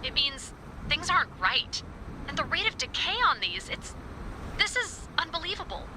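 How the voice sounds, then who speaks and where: A high-voiced, science-minded woman in a video game. She is anxious and halting as she thinks aloud. Her radio transmission has clear background noise. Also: big pitch swings, pushed and bright, exaggerated voice, rough recording. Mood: puzzled, amazed. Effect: walkie-talkie radio. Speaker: researcher on a radio. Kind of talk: naturalistic video game acting.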